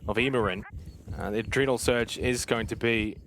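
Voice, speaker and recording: A man casting a live esports match, breaking down plays and getting louder on big moments. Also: medium pitch, normal speed, slight background noise. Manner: analytical, rising excitement on big plays. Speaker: esports caster.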